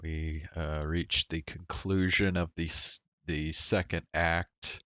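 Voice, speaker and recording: Very deep, slow-talking man streaming a game. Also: flat delivery, sharp tone, dry recording. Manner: rambling, hesitant, thinking aloud. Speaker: game livestreamer. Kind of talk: casual chat while playing a game.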